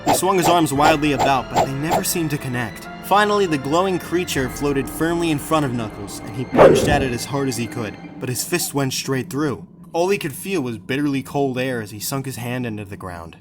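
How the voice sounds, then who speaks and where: A man narrates an adventure audiobook in a mid-pitched voice. His delivery is scripted and dramatic, with clear music running behind him. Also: animated, brisk, few pauses.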